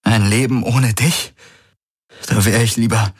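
A man's voice: medium pitch, fairly even intonation, measured pace, breathy edge, no room sound, clean studio sound, pitched above the performer's own voice. A man voicing an anguished, devoted man in an audiobook, his voice trembling as he pleads. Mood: desperate.

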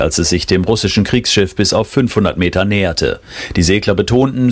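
A man filing a news report as a foreign correspondent, in a measured way. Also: lowish voice.

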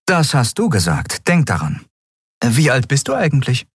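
A man voicing a young woman captain who speaks in a matter-of-fact, brisk way. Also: mid-range voice, wildly swooping pitch, husky, no echo, studio quality, pitched above the performer's own voice. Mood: firm. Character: young woman airship captain, dry and firm.

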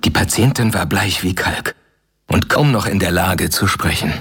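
An actor playing an earnest young doctor in a radio play, measured as he reads his letter aloud. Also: deep voice, lively intonation, fairly bright tone, husky, heavily acted. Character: earnest young male doctor, worried friend.

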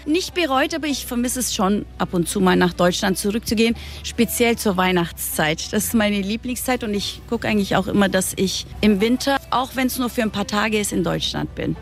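Casting: young woman from Germany, medium pitch, easygoing chatty interviewee, faint music underneath. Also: animated, a little fast, hardly any pauses. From Allgäu, Germany. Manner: friendly, laughs often, uses many fillers. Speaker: hotel staff interviewee. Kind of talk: relaxed interview answers.

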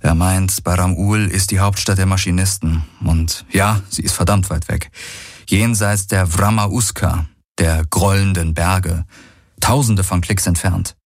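A man's voice: deep voice, fairly even intonation, a little slow, no room sound. A man playing a weary, sardonic male space adventurer in an audiobook, with a blunt, dry manner. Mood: grim. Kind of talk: dramatised audiobook dialogue.

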